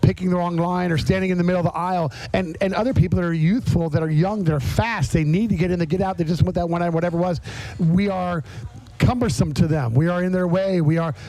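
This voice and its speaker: A man with a high-pitched voice hosts a conversational podcast. He talks candidly and casually. His sentences come in halting pieces, broken by pauses. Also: fairly even intonation, dark tone, no echo, poor audio. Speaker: podcast host.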